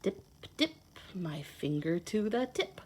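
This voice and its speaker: A woman reading a children's picture book aloud in a playful, expressive, sing-song way, adding simple asides to her young listeners. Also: fairly low pitch, takes it slowly, a little hiss or hum. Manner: simple child-directed explaining. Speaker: children's read-aloud host, teacher.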